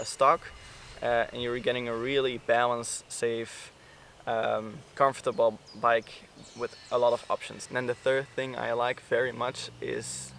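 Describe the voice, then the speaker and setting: A man's voice: medium pitch, vocal fry, slight background noise. A man with a Dutch accent, a native Dutch speaker talking casually to the camera in a motorbike vlog, hesitant, with many fillers and restarts and a slightly awkward manner. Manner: self-conscious, a bit awkward. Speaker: motorcycle vlogger.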